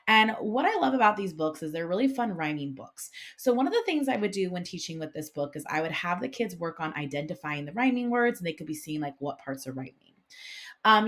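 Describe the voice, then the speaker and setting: Low-pitched woman, casual teacher vlogger. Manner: informal, explanatory, conversational. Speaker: classroom teacher and video host. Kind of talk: casual instructional vlog talk about picture books.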